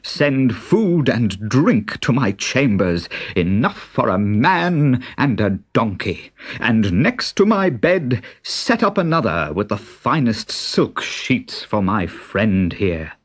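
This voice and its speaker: A man's voice: medium pitch, very animated, slow, breathy edge, heavily acted. A man voicing a grand, grateful, wounded desert king in a storybook, with emphatic, declaiming speech. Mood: commanding.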